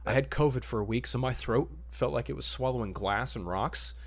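A man's voice: mid-range voice, a little fast, gravelly. A man hosting a podcast in a casual, conversational style. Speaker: podcast host. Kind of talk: casual chat about superhero films.

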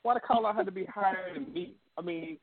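A man's voice: high voice, fast. A man co-hosting a casual podcast, joking with mock indignation. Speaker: co-host. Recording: tinny phone-line sound.

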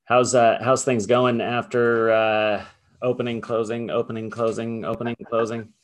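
A man's voice: medium pitch, flat delivery, moderate pace. A man hosting a podcast, asking questions in a friendly, chatty way and laughing easily. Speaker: podcast host. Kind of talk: casual interview.

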